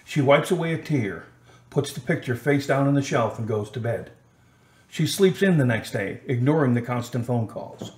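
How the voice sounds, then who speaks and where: A man's voice: medium pitch, lively intonation, normal speed. Male narrator in a roomy recording. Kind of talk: scripted radio drama narration.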